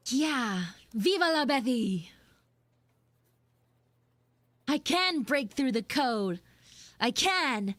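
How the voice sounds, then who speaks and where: A woman voicing a bratty, dramatic tsundere anime girl in a live improvised audio roleplay, theatrical and whiny, with drawn-out words. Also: sits high, sing-song, a bit bright, dry recording, exaggerated voice. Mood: rebellious, dramatic. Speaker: streamer, voice actor.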